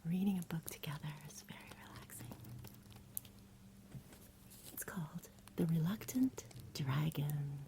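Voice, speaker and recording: A woman speaking in a soft, soothing whisper in an ASMR bedtime roleplay, gentle and unhurried as if talking to a child. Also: sits low, creaky, slight background noise. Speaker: ASMR performer playing a caregiver at bedtime.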